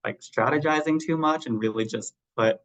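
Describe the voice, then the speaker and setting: A man's voice: a little high, normal speed, a bit bright, muffled recording. A man in his thirties, a graduate student panelist, giving advice in a loose conversational way full of "like" over a video call. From Southern California. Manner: conversational, many "like" fillers. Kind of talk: casual panel talk.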